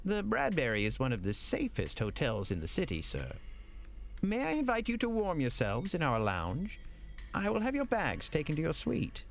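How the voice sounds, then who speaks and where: A man's voice: fairly high pitch, huge pitch swings, moderate pace, gravelly, full character voice. A man playing a suave, deferential hotel manager in an audio drama, formal, courteous and theatrical. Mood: unctuous welcome.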